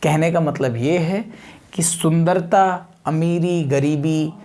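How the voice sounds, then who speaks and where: A man's voice: fairly high pitch, steady tone, takes it slowly, dark tone. A man lecturing as a physics teacher, repeating key terms, asking rhetorical questions and mixing in English technical words. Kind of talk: exam coaching lecture with everyday examples.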